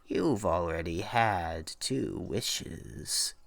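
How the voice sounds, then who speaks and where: Man putting on a cackling, slow-talking old hag. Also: sits low, lively intonation, creaky, no room sound, heavily acted. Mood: taunting, sly. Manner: cackling, taunting, drawn-out delivery. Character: cackling toothless old hag who grants wishes.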